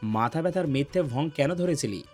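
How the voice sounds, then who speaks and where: A man's voice: on the high side, animated, moderate pace, a little mellow, husky. Guy acting possessive young lover, bossy n intense, threatening but tender, audio love story, music behind. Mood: stern. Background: background music.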